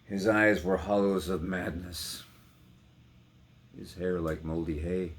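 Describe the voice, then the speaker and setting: A man giving a theatrical, declamatory poetry recitation with sharp contrasts between hushed and loud delivery. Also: sits low, steady tone, slow, slight background noise. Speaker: poetry reader.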